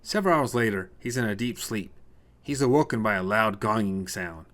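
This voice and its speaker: A man's voice: on the low side, animated, normal speed, fairly bright tone. A man reading a scripted short story as narrator, told in the present tense.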